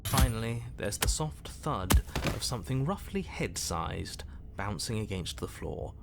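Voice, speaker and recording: An actor playing a stern, disdainful narrator of a dark fairy tale, grave and deliberate, in dramatic game narration. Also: male voice, on the low side, expressive, vocal fry, very noisy. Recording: music behind the voice.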